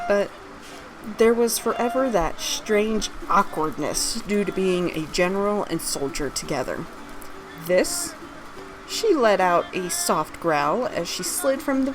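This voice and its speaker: Woman, fairly low pitch, fantasy story narration, scripted read-aloud, background music. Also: rough recording. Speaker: author reading own fantasy story aloud.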